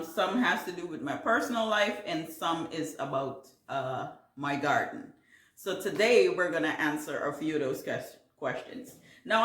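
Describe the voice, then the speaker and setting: A woman's voice: fairly low pitch, a bit bright, echoey room. A middle-aged woman from Guyana with a Caribbean accent, hosting a casual question-and-answer video for her gardening channel and rambling a bit with fillers and restarts. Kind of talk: casual Q&A vlog. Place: home.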